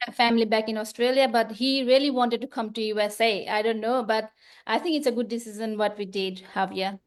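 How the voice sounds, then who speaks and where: A woman's voice: fairly high pitch, steady tone, brisk. A woman from Nepal in her thirties or forties, a nurse, answering interview questions on a video call in a friendly way, explaining things step by step. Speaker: guest, nurse.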